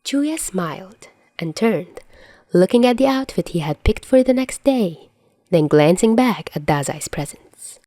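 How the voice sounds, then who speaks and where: A woman's voice: medium pitch, animated, a little slow, mellow, no room sound, clean studio sound. A woman narrating a story as a podfic reader, in a scripted read-aloud style.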